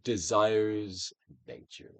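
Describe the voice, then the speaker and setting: A man giving a dramatic, theatrical reading of a self-help book, emphatic, with swells and hushed drops. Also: lowish voice, steady tone, slow, dry recording. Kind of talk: theatrical dramatic reading of scripted instructional text.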